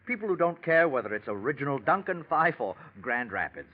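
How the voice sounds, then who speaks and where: An actor playing a scheming antique dealer in 1940s radio drama, with flattering, hesitant sales patter. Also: male voice, medium pitch, fairly quick. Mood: ingratiating. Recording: muffled vintage radio recording.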